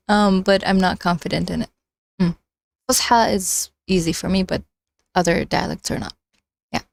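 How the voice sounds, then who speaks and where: A woman hosting a casual livestream, chatting in a halting way as she thinks out loud. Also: fairly low pitch, steady tone, vocal fry, dry recording, clean studio sound. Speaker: livestream host.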